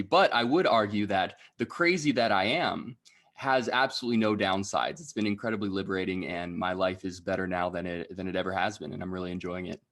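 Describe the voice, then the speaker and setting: Laid-back young man, low voice. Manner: relaxed explaining, frequent tag questions. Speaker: podcast guest. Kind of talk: casual interview.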